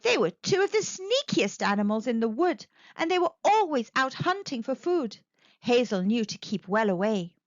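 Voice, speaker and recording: A woman storyteller reading a children's picture book aloud with expressive narration. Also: fairly high pitch, moderate pace, no room sound, highs cut off.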